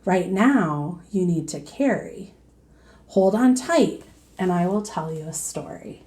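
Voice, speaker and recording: A woman voicing a wise, patient, kindly giant panda in a storybook, with a calm and gentle manner. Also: fairly low pitch, slow pace. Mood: calm, reassuring.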